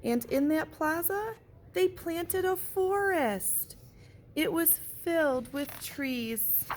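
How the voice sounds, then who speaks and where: A woman, a teacher, reading a picture book aloud and pausing to point out what the pictures show. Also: very high voice, lively intonation, a little hiss or hum. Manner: gentle, slow storybook delivery with pauses.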